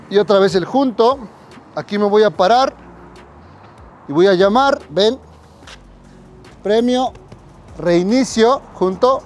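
High-voiced man coaching outdoors. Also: darkish tone, slight background noise. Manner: instructive, addresses viewers directly. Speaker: dog trainer. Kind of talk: outdoor training tutorial. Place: residential street outdoors.

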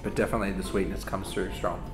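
Australian man, low relaxed voice. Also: quick pace. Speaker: company CEO tasting food. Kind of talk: casual on-camera reactions. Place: indoor studio set at a table. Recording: roomy studio with music.

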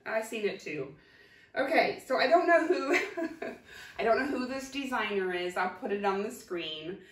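A woman's voice: on the high side, lively intonation. A woman in her forties, chatting casually as she shows thrift finds one by one, with many ums along the way. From Ohio. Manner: chatty, unhurried, many ums, holding up items. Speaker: thrift reseller vlogger. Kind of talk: casual haul show-and-tell. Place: home kitchen, indoors. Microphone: camera at a distance. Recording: roomy kitchen sound, voice from a distance.

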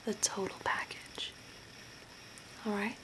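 Woman as a breathy, whispering zombie lady. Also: takes it slowly, natural voice. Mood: eerie, courteous. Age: young adult. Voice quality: soft, breathy, mostly whispered. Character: eerie, courteous female zombie makeover artist, hungry, deadpan. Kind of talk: ASMR role play. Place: small curtained room at home, indoors. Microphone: close to the camera. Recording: noisy amateur home recording.

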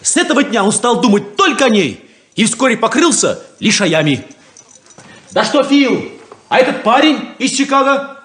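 Brash naive farm kid brother in an old radio drama, high boyish voice, pitch all over the place. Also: male voice, big pitch swings, normal speed, fairly bright tone, exaggerated voice. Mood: excited, cocky. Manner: boyish, emphatic. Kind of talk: 1970s Soviet radio play acting.

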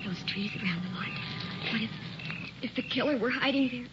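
A woman's voice: on the high side, brisk, over loud music, close to an everyday voice. A woman playing a frightened, desperate wife in old-time radio horror, breathless and melodramatic. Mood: anxious. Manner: breathless, melodramatic, hesitant repetition. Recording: noisy muffled vintage radio recording.

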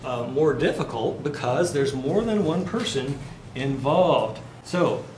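A man's voice: medium pitch, expressive, takes it slowly. Man in his fifties, conversational lecturer, echoey classroom, faint background hiss. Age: 50s. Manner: conversational, asks the class questions and answers them. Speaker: finance lecturer. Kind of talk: live university lecture. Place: university classroom with whiteboards. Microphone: far camera, no visible mic.

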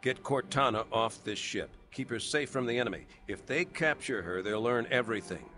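A man playing a grave, steadfast middle-aged starship captain in a video game, speaking with measured military authority. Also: mid-range voice, lively intonation. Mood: grave, resolute. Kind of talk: dramatic video game acting. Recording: game audio under loud music.

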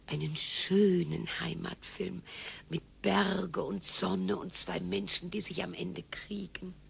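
An older actress playing an anxious, doting retired wife who fusses and often whispers tenderly in a radio play. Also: female voice, lowish voice, fairly even intonation, slow, gravelly, slight background noise, full character voice.

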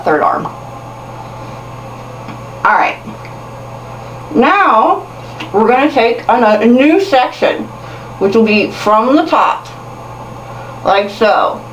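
An echoey, slightly distant recording of a woman in her thirties hosting a hair tutorial. She speaks at a medium pitch in a casual, chatty way and pokes a little fun at herself. There is faint noise behind her, as from a camera mic in a small bathroom. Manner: chatty, self-deprecating. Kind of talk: casual how-to instruction to viewers. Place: small tiled bathroom, indoors. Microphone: camera mic at a short distance.

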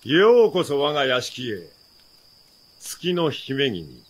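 A man's voice: mid-range voice, sing-song, slow. An actor playing a smug, all-knowing nobleman villain with formal, archaic, courtly speech in a radio drama. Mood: smooth welcome.

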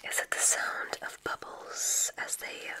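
A woman performs an ASMR roleplay entirely in a soft whisper. She plays a kindly, formal witch examiner at a magic school. Her delivery is very slow and soothing, with gentle instructions and unhurried pauses. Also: rough recording.

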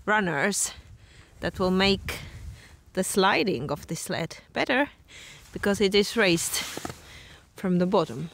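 A woman's voice: medium pitch, expressive, slow pace, vocal fry, long pauses, fairly bright tone. A woman in her thirties with an Estonian accent, talking casually and candidly to a camera she holds at arm's length outdoors, with plenty of fillers and self-mocking asides. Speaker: vlogger. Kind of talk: casual vlog talk to camera. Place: outdoors in a forest clearing.

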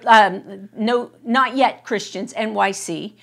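A woman, discussing casually as a co-host, haltingly qualifying her points as she goes. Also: medium pitch, creaky, fairly bright tone. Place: indoor room, seated beside co-host.

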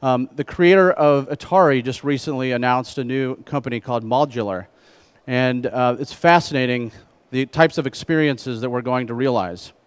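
A middle-aged man presenting a conference keynote on stage through a headset mic, speaking in measured phrases. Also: medium pitch, clear, resonant tone. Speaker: keynote speaker, trade association president.

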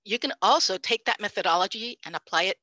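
A Puerto Rican woman in her fifties, a native Spanish speaker, giving an animated, emphatic panel talk over a headset microphone on a video call. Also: medium pitch, quick pace, dry recording. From Puerto Rico. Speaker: panelist, language professor. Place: home room, indoors. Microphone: headset mic.

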